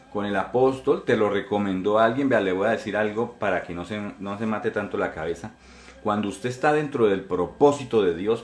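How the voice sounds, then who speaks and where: A Colombian man in his forties preaches his testimony in an earnest, direct way, circling back over his own words. His voice sits on the low side. The sound carries a noticeable room echo. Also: darkish tone. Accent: Colombian Spanish. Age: 40s. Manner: earnest, repetitive, addressing listeners directly. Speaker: pastor. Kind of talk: sermon testimony.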